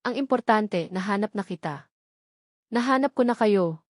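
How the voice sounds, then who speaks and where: A woman's voice: medium pitch, expressive, moderate pace, no room sound, clean studio sound, natural voice. A woman narrator voicing a remorseful, evasive man with a Filipino accent, pleading hesitantly in an audio romance drama.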